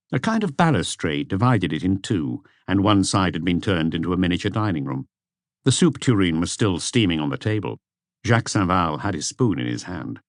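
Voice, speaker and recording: A man with a lowish voice narrates a mystery audiobook. His pitch moves in a lively way through the prose, and the recording is dry and close. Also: no room sound. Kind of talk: scripted mystery novel narration.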